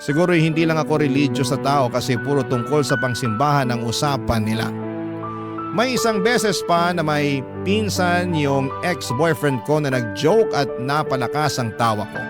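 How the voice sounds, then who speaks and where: A man narrating a love story as a radio host, in an emotive, deliberate storytelling manner. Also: fairly high pitch, animated. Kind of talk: dramatic narration of a love letter. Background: music.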